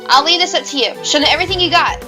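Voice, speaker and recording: A high female voice over clear background music. A woman plays a young rabbit police officer in an amateur audio drama, perky, eager and supportive. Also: lively intonation, very fast.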